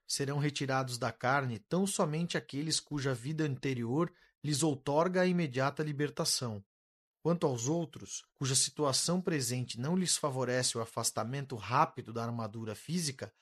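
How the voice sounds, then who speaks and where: A man acts out a male spirit mentor in a radio novel, fatherly and composed. The Brazilian voice is slightly high, with a formal, firm, instructive delivery that stays serene. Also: normal speed, lightly acted. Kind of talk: scripted radio drama acting.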